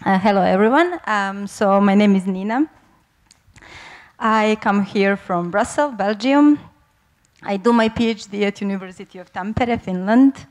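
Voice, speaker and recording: A woman's voice: medium pitch, unhurried, a little mellow. A young woman from the former Yugoslavia giving a conference presentation as a researcher, slightly nervous and correcting herself.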